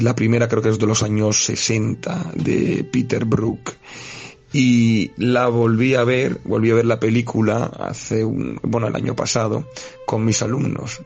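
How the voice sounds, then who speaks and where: A man with an Italian accent, a guest philosophy teacher, talking in a hesitant way with many pauses and fillers. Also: fairly low pitch, vocal fry, darkish tone. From Italy. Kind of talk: casual spoken commentary.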